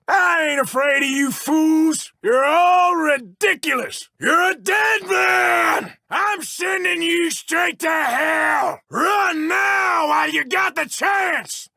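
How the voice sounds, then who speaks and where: An actor playing a sneering, violent outlaw in a video game, shouting gravelly threats and insults at lawmen. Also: male voice, very high-pitched, no room sound, clean studio sound, exaggerated voice. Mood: scornful. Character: taunting violent outlaw man fighting lawmen. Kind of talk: video game combat barks.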